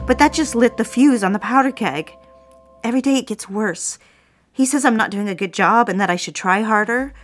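A woman's voice: medium pitch, lightly acted. An actress plays a young secretary in an office drama, anxious and wounded. She speaks politely and hesitantly, as if confiding, in a mid-range female voice. Faint music sits behind her. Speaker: actor in an audio drama. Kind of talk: dramatic audio drama acting.

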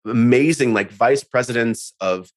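A man giving an animated, conversational interview over a video call, laughing often. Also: mid-range voice, measured pace. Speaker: interview guest, on-camera coach.

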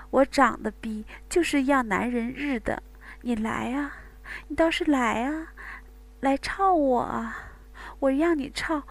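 A woman voicing a passionate adult woman in an intimate scene, with breathy, high moaning cries.

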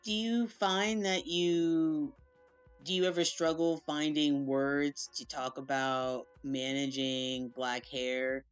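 A podcast host with a smooth, clear voice at a middle pitch, asking thoughtful questions at a very unhurried pace. Faint music plays behind. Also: fairly even intonation. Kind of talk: interview questions.

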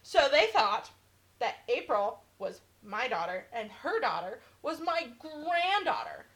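High bright-voiced blunt young woman. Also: big pitch swings, slow pace, low-quality recording. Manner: blunt, swears freely, acts out quotes. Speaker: podcast co-host. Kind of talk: casual chat.

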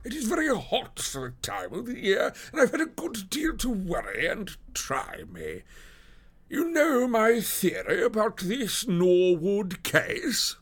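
A flustered, downcast police detective from a classic storybook. The voice sits high and swings widely in pitch. He draws out his words in an eager, deferential and confidential way, with formal politeness. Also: huge pitch swings, a bit bright, breathy edge, heavily acted. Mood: meek, apologetic. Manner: confidential, slow, drawn-out, formal address. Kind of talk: dramatised audiobook character voice.

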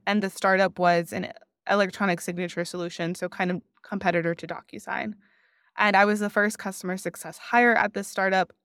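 Smooth-voiced woman from Miami. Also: mid-range voice, fairly bright tone, no room sound, clean studio sound. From Miami, United States. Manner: reflective, earnest, uses "like" often. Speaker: podcast guest, customer success leader. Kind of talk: conversational interview.

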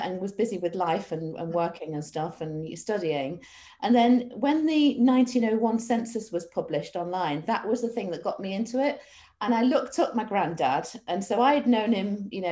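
A woman's voice: on the low side, mellow. A middle-aged woman from Manchester with an English accent, talking casually and discursively as an interview guest, with enthusiasm for what she researches. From Manchester, England. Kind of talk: casual conversational storytelling.

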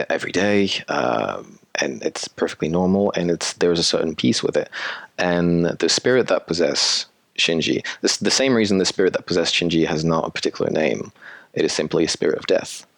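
A man's voice: sits low, gravelly, no echo, studio quality. A man being interviewed about the audio drama he created. He talks casually and thoughtfully, rambling with fillers and restarts.

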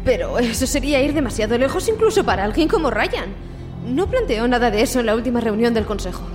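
A woman's voice: fairly high pitch, very animated, fast, music in the background. A woman playing a sensible, questioning city council member in audio drama dialogue. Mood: reasoning, concerned. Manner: conversational, questioning.

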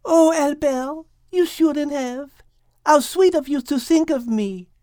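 A man voicing a refined female cat with a French accent, polite, coy and gently teasing, for a children's story. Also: very high voice, slow, no echo, studio quality, pitched above the performer's own voice. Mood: sweet, flattered. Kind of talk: audiobook character acting and show hosting.

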